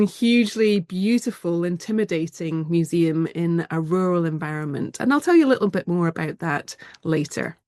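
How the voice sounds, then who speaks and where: Woman with a slight cold, lower-pitched. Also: dry recording, studio quality. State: has a cold, slightly nasal. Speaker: museum director giving a presentation. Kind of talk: presentation with slides on a video call.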